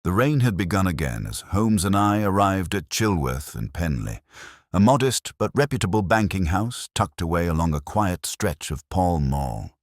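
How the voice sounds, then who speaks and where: A man's voice: low-pitched, very animated, moderate pace, no room sound, clean studio sound. A man reading a scripted Victorian detective story as a narrator. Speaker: audiobook narrator.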